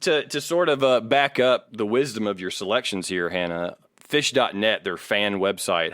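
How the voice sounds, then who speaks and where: Low-pitched man hosting a casual podcast. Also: a bit bright, dry recording, clean studio sound. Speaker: podcast host. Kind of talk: casual podcast discussion with researched background.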